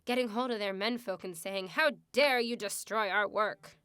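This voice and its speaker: A woman's voice: mid-range voice, normal speed, a bit bright, clear, resonant tone, dry recording, clean studio sound, lightly acted. A woman playing a wry, unsentimental expectant mother in a radio drama, speaking in a composed, matter-of-fact way. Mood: earnest, philosophical.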